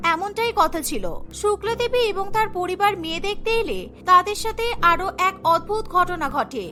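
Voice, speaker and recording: A woman narrates a cartoon story from a script. Her voice is high and bright, with faint music behind it.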